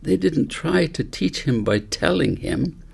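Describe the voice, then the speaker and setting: A man narrating a story audiobook in a measured, reflective way, as an author reading his own book aloud. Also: fairly high pitch, lively intonation, creaky, a little mellow, husky.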